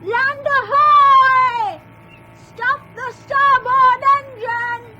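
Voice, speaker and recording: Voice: extremely high pitch, steady tone, extremely slow, lo-fi sound, over loud music, exaggerated voice. An actor playing a bossy, playful young child at captain games in a radio drama. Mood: excited shouting.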